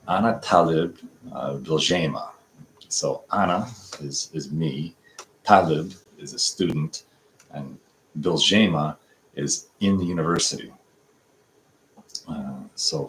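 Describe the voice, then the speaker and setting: A man in his fifties giving a casual, halting practice lesson, breaking phrases down word by word with many pauses and fillers. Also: rumbling low, a little hiss or hum, low-quality recording. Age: 50s. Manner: slow, halting explanations with many fillers, breaks words down piece by piece. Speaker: language learner teaching from a textbook dialogue.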